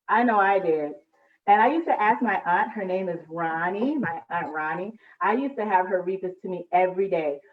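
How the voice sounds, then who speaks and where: A woman hosting a live children's read-aloud, chatting to families at storytime. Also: lowish voice. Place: indoors at home, on a live video call. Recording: echoey room, distant muffled mic.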